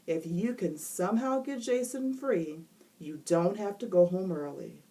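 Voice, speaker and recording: A woman voicing a calm, matter-of-fact schoolteacher in a storybook reading, speaking in a level, sensible and unhurried way. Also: on the low side, far from the mic.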